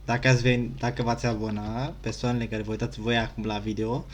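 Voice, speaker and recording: Guy youtuber chatting over a game, normal pitch, slangy, bit of background noise. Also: little pitch movement, racing. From Romania. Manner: informal, slangy. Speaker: gaming YouTuber. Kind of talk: casual let's-play commentary.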